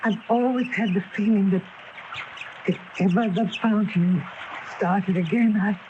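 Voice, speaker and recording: An old AM radio broadcast with a dull sound. A woman an actress plays a troubled, weary landlady in a mystery play, halting and dramatic in her delivery. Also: medium pitch, noisy background, natural voice. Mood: dazed, faltering. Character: weary, evasive landlady troubled by the haunted room. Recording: muffled 1980 AM radio broadcast tape.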